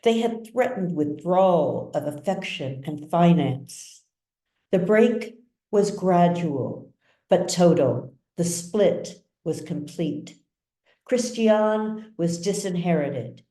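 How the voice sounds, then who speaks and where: A woman author reading her novel as dramatic literary narration, with measured, deliberate phrasing. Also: fairly low pitch, animated, mellow. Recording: roomy echo, distant mic.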